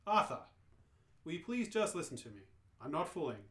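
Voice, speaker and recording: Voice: mid-range voice, brisk, natural voice. Urgent, cryptic male alien friend for radio comedy, performer acting, dry delivery, roomy sound. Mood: urgent, insistent. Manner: deadpan, insistent. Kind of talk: amateur radio play reading. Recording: roomy, echoing live reading.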